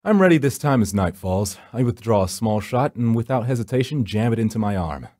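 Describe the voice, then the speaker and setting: A man's voice: mid-range voice, animated, a little fast, warm tone, dry recording, clean studio sound, close to an everyday voice. An actor playing a grim, world-weary supernatural rescuer, narrating his hunt in a brooding, solemn, dramatic way. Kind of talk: dramatic scripted first-person narration.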